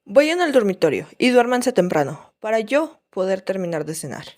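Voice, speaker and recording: A woman's voice: medium pitch, very animated, moderate pace, a little mellow, natural voice. A woman playing a strict, commanding governess in a radio drama, with a stern, bossy manner.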